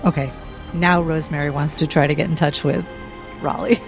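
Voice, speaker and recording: A woman's voice: low voice, fairly even intonation, fairly quick, creaky, over music. A woman who is a player in a tabletop roleplaying game, casually describing what her character does.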